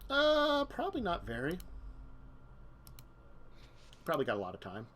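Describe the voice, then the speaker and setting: A man's voice: on the high side, huge pitch swings, normal speed, fairly bright tone. A man running a tabletop vampire game as game master, talking casually with many fillers.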